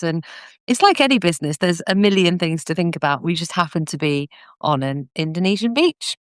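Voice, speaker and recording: A woman's voice: lowish voice, clean tone, dry recording, studio quality. A British woman telling her story casually as a podcast guest. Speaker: podcast guest, business owner.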